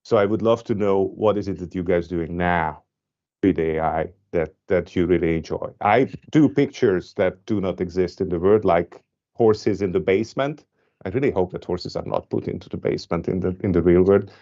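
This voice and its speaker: Mellow low male moderator, lo-fi. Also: moderate pace. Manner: curious, asks open questions. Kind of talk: round table discussion.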